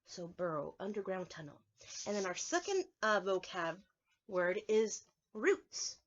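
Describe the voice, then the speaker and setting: A woman's voice: medium pitch, big pitch swings, unhurried, lo-fi sound. A woman preschool teacher giving a lesson to young children, explaining things simply and step by step.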